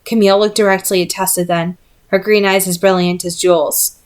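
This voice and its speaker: A woman's voice: lowish voice, flat delivery, a little fast. Woman reading a novel aloud. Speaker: audiobook-style reader. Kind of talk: scripted novel narration, read aloud.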